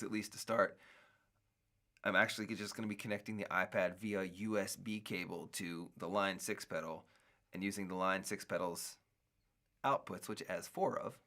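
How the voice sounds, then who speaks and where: A middle-aged man with a low, gravelly voice talks loosely on his own show. He drifts from thought to thought, restarting sentences and filling gaps with fillers as he muses. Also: sharp tone. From United States. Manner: rambling, musing, many restarts and fillers. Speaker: podcast host. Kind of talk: casual solo talk.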